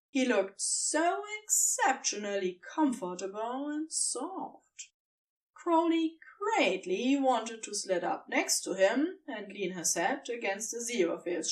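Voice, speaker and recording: Female narrator for fiction, fairly high and very melodic, expressive storybook read, recorded a little away from the mic. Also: recorded from a distance, low-quality recording.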